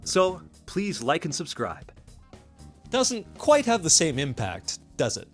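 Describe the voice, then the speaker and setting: A man hosts a scripted gaming video essay. His delivery is animated and enthusiastic, slipping into conversational asides, with light music underneath. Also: on the high side, slow pace. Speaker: video game essayist and channel host.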